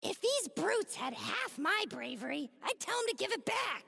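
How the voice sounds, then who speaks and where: A woman playing a small, cocky, aggressive alien foot soldier in a video game, squeaky and gravelly, taunting and boastful, with long reverb. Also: big pitch swings, normal speed, exaggerated voice. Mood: boastful, grumbling. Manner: taunting, boastful, hot-headed, shouty. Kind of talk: video game combat barks.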